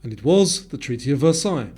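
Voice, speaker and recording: A man's voice: medium pitch, lively intonation, quick pace, darkish tone, breathy edge, soft background music. A man reading scripted quiz questions and multiple-choice answers as a narrator.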